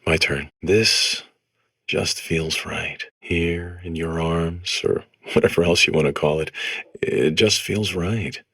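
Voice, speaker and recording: A tired earnest robot for a comedy game, raspy and forceful, real low. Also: male voice, slow pace, no room sound, clean studio sound, heavily acted. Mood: tender. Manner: world-weary, earnest monologuing. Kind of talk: comedic video game character acting.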